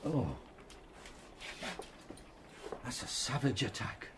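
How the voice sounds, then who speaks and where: A man playing a loyal, hesitant British gentleman doctor in a radio drama, with an expressive, slightly bumbling manner. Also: medium pitch, very slow, slight background noise, heavily acted. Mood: shocked, curious.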